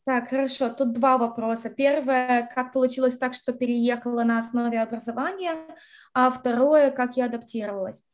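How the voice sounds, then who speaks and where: A young woman from Russia, an interview guest on a video call from home, telling lively stories and slipping in English words. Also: on the high side, moderate pace. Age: young adult. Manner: lively storyteller, acts out quotes, mixes in English words. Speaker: interview guest, university graduate. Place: bright room at home.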